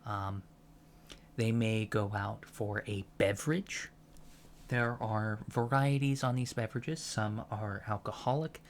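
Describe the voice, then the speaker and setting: A soft-spoken man in an ASMR roleplay as a professor, explaining things haltingly with pedantic asides. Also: mid-range voice, slight background noise. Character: patient alien professor lecturing on humans, fascinated by them. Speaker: ASMR roleplay performer playing an alien college lecturer.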